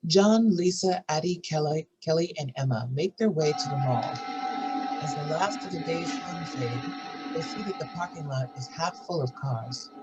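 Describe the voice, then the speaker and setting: A man's voice: fairly high pitch, moderate pace, loud music behind the voice, rough recording. A man giving scripted narration, reading out stage directions for a drama table read.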